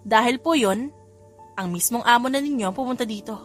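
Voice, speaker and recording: A woman's voice: on the high side, a little fast, soft background music, natural voice. A woman narrator voicing Charles, a serious male assistant who speaks politely but firmly in an audiobook story. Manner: polite but firm, formal address.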